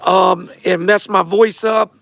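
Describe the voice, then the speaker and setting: A man hosting a casual livestream, answering viewer questions in a hoarse, damaged voice and talking straight to his audience. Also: high-pitched, steady tone, slow pace, a bit bright, no room sound. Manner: laid-back, repeats himself, talks straight to viewers. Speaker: host of a fight show answering viewer questions.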